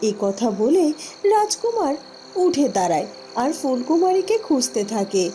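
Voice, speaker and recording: A woman's voice: high voice, big pitch swings, moderate pace, dark tone, slight background noise. A woman narrating a scripted cartoon story. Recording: music behind the voice.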